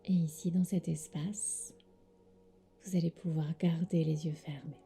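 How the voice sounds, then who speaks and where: A woman with a soft, breathy voice leads a guided sleep meditation as a yoga teacher, giving gentle, soothing instructions with pauses. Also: low-pitched, level tone, faint music behind.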